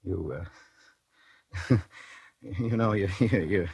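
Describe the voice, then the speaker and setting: An actor playing a meek, lovelorn man who speaks haltingly and pleadingly in a 1940s radio drama. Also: sits low, gravelly, darkish tone, a little hiss or hum, full character voice. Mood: tender, halting. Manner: hesitant, stammering, pleading. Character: meek, lovelorn man resigned to a murder charge. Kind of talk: 1940s radio drama acting, first-person narration. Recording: 1940s radio broadcast, faint music behind.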